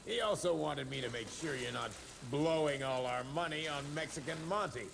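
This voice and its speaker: A bumbling, self-satisfied government official in a game. The voice is creaky and a touch high, stumbling genially through a formal address, with noticeable noise behind it. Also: male voice, normal speed. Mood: genial, pompous. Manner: hesitant, self-satisfied, folksy politician. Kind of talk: video game acting of a public address.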